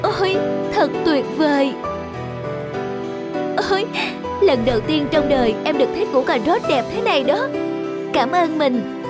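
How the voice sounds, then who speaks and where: A woman voicing a sweet, adoring young rabbit wife in an animated fairy tale, gushing and affectionate. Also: high-pitched, unhurried, pauses often. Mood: delighted. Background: music.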